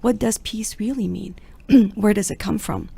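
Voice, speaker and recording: A woman's voice: medium pitch, a little slow, creaky, dark tone, breathy edge. A woman from Canada, a guest on a radio interview, reflecting thoughtfully on her reasons.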